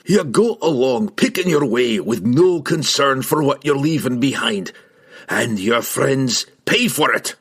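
A roaring, gruff old dwarf. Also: male voice, medium pitch, sing-song, a little slow, a bit bright, voice pushed up, heavily acted. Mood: furious. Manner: roaring, growling, old-fashioned dwarven speech. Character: furious old male dwarf, gruff and stubborn. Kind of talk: audiobook character voice.

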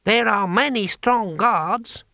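A man voicing a flustered, pompous imperial tax official in a slow, stiff, croaking manner for an audiobook. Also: sits high, sharp tone, no echo, studio quality, pitched above the performer's own voice, full character voice. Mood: alarmed, affronted.

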